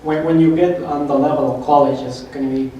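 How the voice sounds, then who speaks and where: Shy young man with a mellow voice. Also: a little high, steady tone, dull audio. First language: Spanish. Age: young adult. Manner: shy, halting. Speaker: student panelist. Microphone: handheld mic. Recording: echoey room from far off, faint noise.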